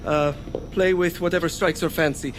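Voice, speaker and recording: Male actor, deferential Victorian inventor, higher male voice, enthusiastic, slightly hesitant, game drama, noisy background. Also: fairly even intonation, normal speed, close to an everyday voice. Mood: eager. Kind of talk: dramatic video game acting. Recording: clear background noise.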